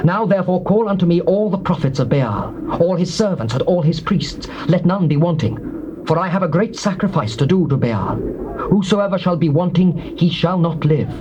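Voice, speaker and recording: A cunning, ruthless biblical king with a fairly high male voice. He declaims his orders in theatrical scripture style. The old cassette recording is clearly noisy. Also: lively intonation, moderate pace, lo-fi sound. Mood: proclaiming. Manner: declamatory, commanding. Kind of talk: dramatized scripture reading, theatrical stage delivery.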